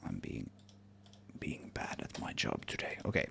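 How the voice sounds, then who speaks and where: A man giving a casual software tutorial, thinking aloud, correcting himself and trailing off as he works. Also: a little slow, background noise. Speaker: tutorial presenter, game audio developer.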